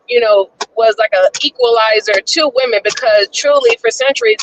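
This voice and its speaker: A woman's voice: on the high side, a bit bright. Female caller, earnest, phoning in from a moving car, road noise, far from mic. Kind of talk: casual panel discussion. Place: driving a car. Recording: distant phone-in with road noise.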